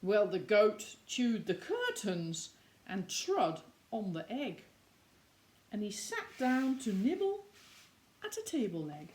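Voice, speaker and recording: A woman reading a picture book aloud to young children in an expressive way, with chatty explaining asides. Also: mid-range voice, takes it slowly.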